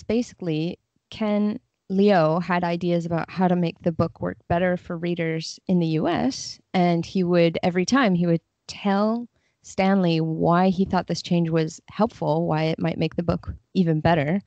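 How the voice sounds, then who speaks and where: A woman's voice: on the low side, fairly even intonation, normal speed, a little mellow, no room sound, muffled recording. A woman talking casually and thoughtfully as a guest translator in a podcast interview, explaining her views.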